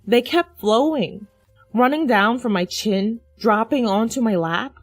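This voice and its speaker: A woman's voice: fairly high pitch, a little slow, no echo, soft background music. A woman reading a scripted first-person dramatic story as its narrator.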